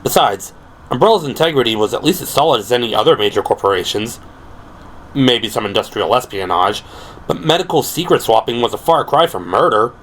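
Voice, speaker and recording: A man's voice: medium pitch, animated, brisk, a bit bright, slight background noise. A man reading scripted, dramatic prose as the narrator of an audio drama. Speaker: narrator and performer.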